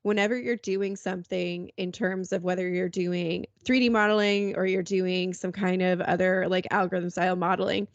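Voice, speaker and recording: A woman's voice: lowish voice, steady tone, normal speed, few pauses, no echo. A woman hosting a podcast, chatting casually in loose, rambling sentences. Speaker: podcast host. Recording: dry, slightly dull-sounding.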